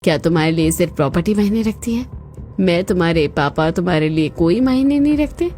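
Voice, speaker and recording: A woman plays a stern older mother-in-law in a cartoon family drama. Her voice sits low and her delivery is emphatic and scolding, with music playing behind her. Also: animated, a little fast, mellow, close to an everyday voice. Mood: hurt, indignant. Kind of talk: Hindi cartoon moral-story voice acting.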